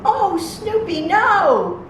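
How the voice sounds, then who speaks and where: A woman acts out an earnest little storybook boy, full of dismay. The voice is pushed up high and plaintive. It sounds distant, in a very echoey room. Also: sing-song, drawn-out words, slight background noise, heavily acted. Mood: dismayed, worried.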